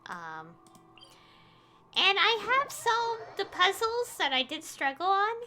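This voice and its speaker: A woman's voice: very high voice, wildly swooping pitch, takes it slowly, bright, forceful tone, slight background noise. A woman game streamer chatting casually on a livestream in a rambling, conversational way. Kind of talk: casual livestream chat over gameplay.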